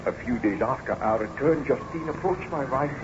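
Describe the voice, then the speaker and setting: An actor playing an anguished yet ardent baron scientist in old-time radio drama, formal and melodramatic, on an old radio recording. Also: male voice, mid-range voice, normal speed, muffled recording, background noise, close to an everyday voice. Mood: reflective.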